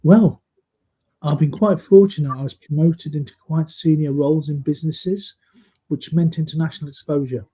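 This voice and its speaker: A man in his sixties from Scotland, speaking in a measured, earnest way as a guest in a live video-call interview. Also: fairly high pitch, fairly even intonation, warm tone, husky, rough recording. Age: 60s. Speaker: interview guest, safety leader.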